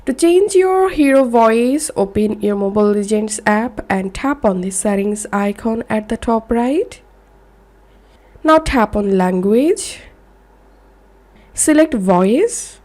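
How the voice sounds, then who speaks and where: Female tutorial narrator, slightly high register, very unhurried delivery, scripted step-by-step instruction. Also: a little mellow.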